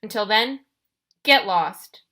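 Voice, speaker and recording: A woman's voice: medium pitch, lively intonation, takes it slowly, bright, forceful tone. A woman doing a brash, taunting war god. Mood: menacing.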